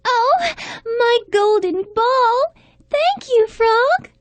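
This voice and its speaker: A woman voicing a spoiled, whiny, haughty young princess in a children's fairy tale, with exaggerated, deliberate delivery. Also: very high-pitched, animated, dry recording, exaggerated voice. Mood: pleading.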